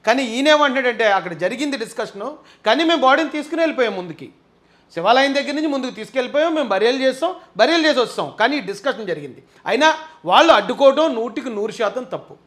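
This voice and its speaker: A man presents live commentary in a very high-pitched voice. He talks quickly and emphatically, arguing his points and pressing viewers with rhetorical questions. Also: lively intonation, fairly bright tone.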